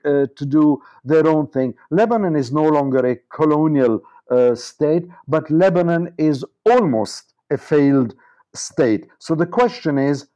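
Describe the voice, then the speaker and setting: Mellow, higher-pitched man giving political commentary. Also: lo-fi sound. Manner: discursive, emphatic, building lists and repetitions. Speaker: interview guest, political commentator on the Middle East. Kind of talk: earnest political commentary in conversation.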